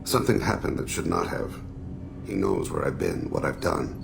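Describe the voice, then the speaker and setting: An actor playing a solemn, regretful alien assassin and father in a video game, speaking in measured, formal, introspective phrases with a gravelly voice. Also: lively intonation, background noise, full character voice. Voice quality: very deep, heavily gravelly. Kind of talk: video game dramatic dialogue.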